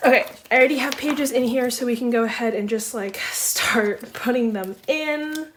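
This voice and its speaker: A woman's voice: on the high side, moderate pace, slight background noise. A young woman in casual chat while sorting photocards, chatty and rambling, with a slightly congested voice. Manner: chatty, rambling, often says um and like. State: slightly congested, recovering from laryngitis. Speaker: photocard collecting vlogger.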